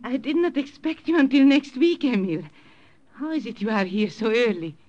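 An anxious, motherly old German grandmother, played by a woman in an old-time radio drama. She speaks with a German accent in a halting, placating way, and the recording is dull and muffled. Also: fairly high pitch, animated, a little mellow, lightly acted. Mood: flustered, scolding. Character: anxious, kindly old German grandmother who runs a bakery. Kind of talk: 1950s radio drama acting.

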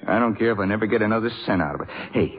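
A man playing a bitter, hard-drinking, disillusioned jazz trumpeter in a 1950s radio drama, talking in slangy, halting phrases. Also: on the low side, natural voice. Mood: restless, defiant. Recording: tinny 1950s radio recording.

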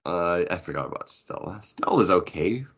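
Low-voiced man chatting, dull lo-fi sound. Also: expressive, moderate pace, a bit bright. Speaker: podcast co-host. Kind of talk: casual comic book podcast chat. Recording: dull, lo-fi audio.

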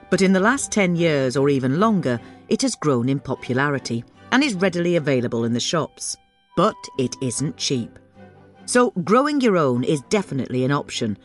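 A woman reading a scripted magazine feature aloud as narration. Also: low voice. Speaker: feature reader.

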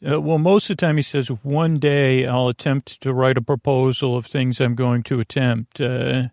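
A man hosting a bedtime podcast in a creaky, lulling voice, meandering through tangents with frequent stammers and questions to himself. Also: medium pitch, little pitch movement, normal speed, darkish tone, no room sound, clean studio sound. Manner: soothing, lulling, drowsy delivery. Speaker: podcast host. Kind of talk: rambling bedtime podcast monologue.

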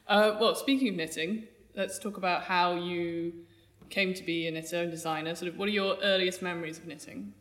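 A woman's voice: on the low side, steady tone, moderate pace, a bit bright. A woman hosting a podcast, chatty and enthusiastic, interviewing a guest. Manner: chatty, enthusiastic, laughs often. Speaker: podcast host. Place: yarn festival venue. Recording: crowd chatter behind.

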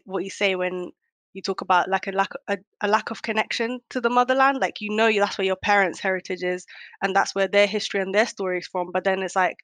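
A woman's voice: medium pitch, fairly bright tone, dry recording. A young woman born in Kenya, speaking reflectively in a casual conversation, with lots of "um"s. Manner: reflective, many fillers.

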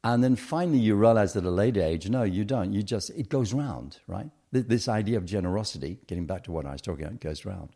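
Man, middle-aged, very deep voice, reflective, hesitant, interview guest. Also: animated, fast talker, few pauses, mellow. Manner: thoughtful, hesitant with frequent ums. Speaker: podcast guest.

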